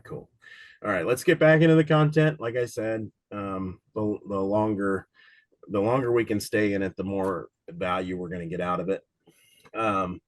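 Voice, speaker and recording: A man with a slightly raspy voice from a cold, running a casual live online study session for an insurance exam and talking in a loose, rambling way with many fillers. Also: mid-range voice, dry recording. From Cincinnati, USA.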